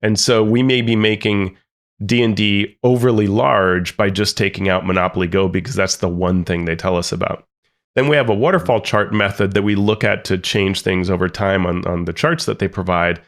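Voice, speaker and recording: A man's voice: on the low side, steady tone, moderate pace. A man co-hosting a podcast, explaining sales estimates step by step and checking in with "right?" as he goes. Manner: explanatory, walks through numbers with frequent "right?". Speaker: podcast co-host. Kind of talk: analytical podcast discussion.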